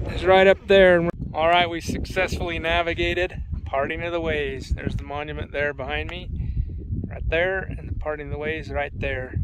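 A man in his forties talks casually as he drives and walks around, easygoing and quick to chuckle. His voice sits a little high. Engine rumble and wind noise run behind him. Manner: chatty, easygoing, chuckles often. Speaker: travel vlogger. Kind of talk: casual road trip commentary. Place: inside a pickup truck, then outdoors on windy open plain. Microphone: camera on dashboard and handheld selfie. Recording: noisy car and wind background.

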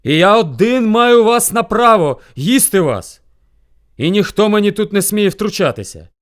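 Man playing an arrogant, high-pitched shouting giant bear. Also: very animated, moderate pace. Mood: boastful shouting. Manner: shouting, commanding, boastful. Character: huge arrogant rival bear, domineering castle lord. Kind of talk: animated folk tale storytelling.

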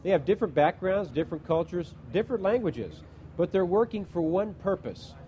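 A man with a soft, mellow tone speaks in an earnest, measured way, building up short listed phrases. He holds a handheld mic on the deck of a ship. Faint background noise sits behind him. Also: sits high, animated. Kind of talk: on-camera presentation.

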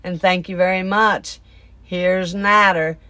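A woman co-hosting a casual video channel, talking in an unhurried, teasing way. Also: fairly low pitch, fairly even intonation, sharp tone, dry recording. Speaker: channel co-host. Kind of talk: casual channel intro and interjections.

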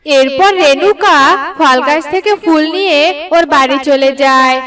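High-pitched woman storyteller, slightly distant. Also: a little slow, a crowd in the background. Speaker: narrator. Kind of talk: scripted storybook narration.

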